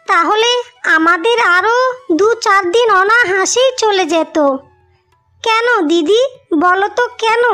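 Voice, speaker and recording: Woman voicing a very high-pitched, devoted young sister. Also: fairly bright tone, exaggerated voice. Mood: pleading, worried. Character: devoted, self-sacrificing sisters in a fairy tale. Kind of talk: cartoon fairy-tale voice acting.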